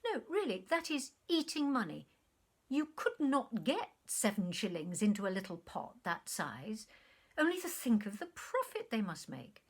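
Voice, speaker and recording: A woman's voice: on the high side, wildly swooping pitch, a little slow, husky, full character voice. A woman voicing a self-absorbed, wistful man who reminisces to a former lover, effusive and earnest, in a short story reading. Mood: incredulous.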